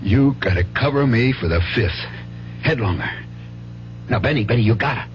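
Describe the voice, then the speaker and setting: A man's voice: medium pitch, lively intonation, moderate pace, close to an everyday voice. A muffled, noisy old radio drama recording with hum. A man acts out a desperate American father and gambler, wheedling and bitter, stumbling and repeating himself as he pleads. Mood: desperate, begging.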